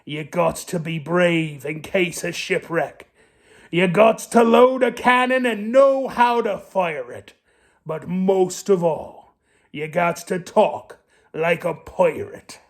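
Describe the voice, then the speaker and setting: Storybook pirate captain: cranky blusterer, creaky growl, slow and salty. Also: male voice, sits high, exaggerated voice. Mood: demanding, exasperated.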